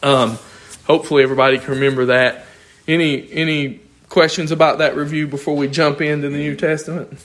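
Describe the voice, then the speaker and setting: A man's voice: fairly high pitch, little pitch movement, brisk, few pauses, a bit bright. A man, losing his voice, teaching an informal Bible class in a conversational, thinking-aloud way and asking the class questions.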